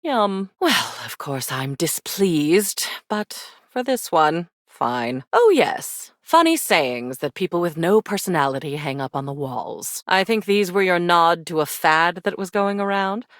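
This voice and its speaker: A woman's voice: lowish voice, big pitch swings, a little slow, few pauses, dry recording, clean studio sound. A woman voicing a mysterious, devious living memory in a video game, theatrical and flirtatious, with a darkly teasing, sly manner. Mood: sultry, dramatic, then displeased, snippy. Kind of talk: video game character acting.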